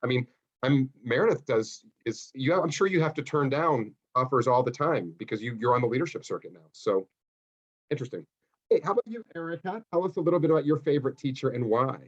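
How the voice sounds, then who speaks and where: A man hosts a casual panel, talking quickly in a husky voice and rambling eagerly from one thought to the next. He often restarts his sentences. The sound is dull and lo-fi, like an online call. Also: mid-range voice, lively intonation. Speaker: panel moderator.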